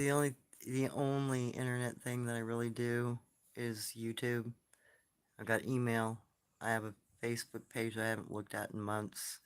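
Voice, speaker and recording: A soft-spoken man telling stories and answering comments in a casual, rambling live stream, recorded on his phone. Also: medium pitch, smooth.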